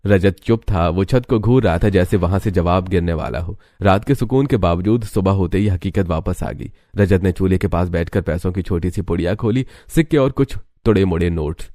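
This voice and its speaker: Deep, mellow male storyteller. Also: fairly quick, few pauses, dry recording, clean studio sound, faint music behind. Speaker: narrator. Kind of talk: scripted dramatic story narration.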